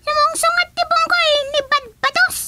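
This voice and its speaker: A woman voicing an excitable, high-voiced underling of a fairy kingdom in a comedy radio drama. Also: normal speed, heavy background noise. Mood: frantic, pleading.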